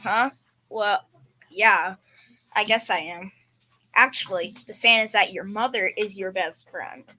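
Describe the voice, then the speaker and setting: A woman's voice: medium pitch, unhurried, pauses often, pushed and bright. A young female performer playing a blustering, secretly scared father with folksy, hesitant speech in a school old-time radio comedy. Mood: nervous reluctance. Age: young teen or child.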